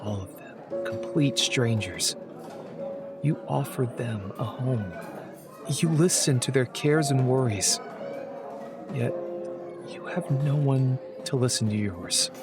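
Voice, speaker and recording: A man acting a quiet, perceptive homeless stranger in a radio drama, speaking in a gentle, measured, probing way. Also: medium pitch, natural voice. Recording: music under the dialogue.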